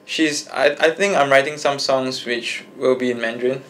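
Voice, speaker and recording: A man's voice: medium pitch, steady tone, moderate pace, fairly bright tone. A young man, a singer-songwriter guest chatting casually in an interview, easygoing and hesitant with many fillers and restarts.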